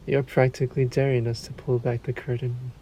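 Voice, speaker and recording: A man voicing a suave, predatory male vampire in an audio roleplay, with a courtly, teasing, drawn-out delivery. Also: mid-range voice, flat delivery, dark tone, a little hiss or hum, natural voice, low-quality recording. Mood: seductive, teasing.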